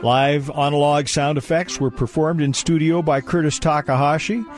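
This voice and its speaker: A man's voice: a little high, steady tone, normal speed, soft background music. A man announcing scripted closing credits for a radio drama broadcast.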